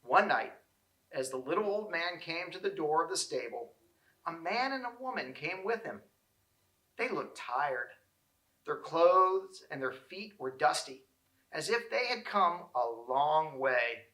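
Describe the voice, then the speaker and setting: Male storybook narrator, bright and fairly high voice, expressive children's read-aloud, in an echoey room. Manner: expressive, deliberate phrasing. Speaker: storybook reader.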